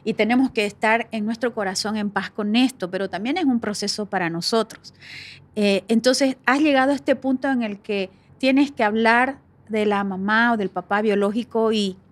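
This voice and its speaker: A woman's voice: fairly high pitch, normal speed. A middle-aged woman hosting a faith-based conversational podcast, talking reflectively with many fillers. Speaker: podcast host.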